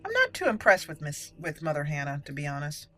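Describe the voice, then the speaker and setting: A woman's voice: sits low, wildly swooping pitch, a little fast, pushed and bright, slight background noise. A woman hosting a gaming channel, giving casual, playful commentary on a roleplay game in a chatty way.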